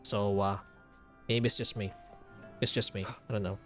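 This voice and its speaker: A man's voice: on the low side, flat delivery, moderate pace, a bit bright. A man giving casual game playthrough commentary as a streamer, rambling as he thinks aloud. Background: game music.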